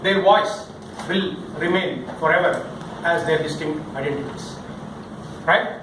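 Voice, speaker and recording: High-pitched man teaching in an echoey room. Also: unhurried. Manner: theatrical, emphatic, questioning delivery with demonstrations. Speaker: workshop speaker, voice trainer. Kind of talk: interactive public-speaking lesson to a group. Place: indoor session room with audience. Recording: echoey room, distant mic, background noise.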